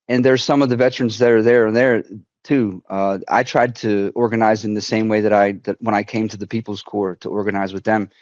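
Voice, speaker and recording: A man, a veteran, telling a personal story at a community council in a halting way, often restarting and quoting others. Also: lowish voice, a little mellow, dry recording, lo-fi sound. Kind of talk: personal story told to an online forum.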